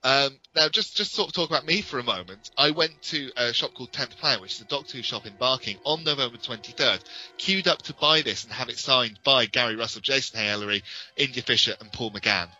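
A man's voice: mid-range voice, fast, pushed and bright. A British man co-hosting a podcast, talking casually and frankly as an opinionated fan. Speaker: podcast co-host. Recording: dull lo-fi audio with music.

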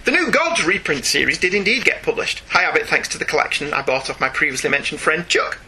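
A man's voice: a little high, big pitch swings, fast, bright, forceful tone, breathy edge. A chatty, enthusiastic man co-hosting a podcast, talking casually and reading out listener emails. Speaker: podcast co-host. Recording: faint background noise.

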